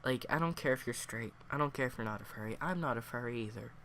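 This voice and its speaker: A man with a cold, sniffling and hoarse, reviewing a story in a casual, rambling way full of fillers. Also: medium pitch, quick pace, pushed and bright, slight background noise. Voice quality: hoarse, worn voice.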